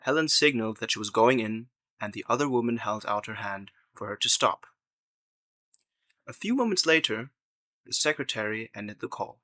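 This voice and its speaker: A man's voice: fairly low pitch, animated, normal speed, bright, forceful tone, dry recording. A man reading scripted narration for an audio drama.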